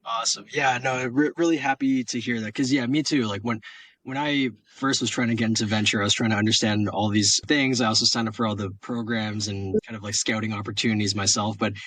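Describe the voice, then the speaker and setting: A man's voice: lowish voice, steady tone, a little fast, a bit bright, poor audio. A young man who founded the program hosts the interview in a friendly, casual way, saying "awesome" a lot and often restarting words.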